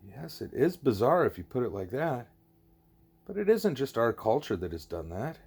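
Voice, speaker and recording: A man reads the part of a curious young girl in an audiobook. The delivery is candid and questioning, at a middle pitch for a male reader, with lively movement. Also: normal speed, breathy edge. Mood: hesitant, questioning. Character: curious, frank young girl pupil named Julie.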